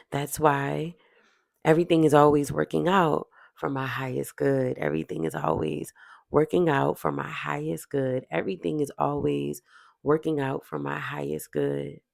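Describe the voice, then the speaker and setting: A meditation guide speaking in a soothing, deliberate way, repeating affirmations with drawn-out pauses. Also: mid-range voice, creaky, no echo, studio quality.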